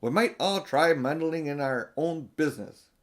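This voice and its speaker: A man voicing a learned old professor in a storybook, deliberate, pedantic and emphatic. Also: a little high, lively intonation, lightly acted. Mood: sharp, pointed. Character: learned old male professor, musing and sharp. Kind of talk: storybook character reading.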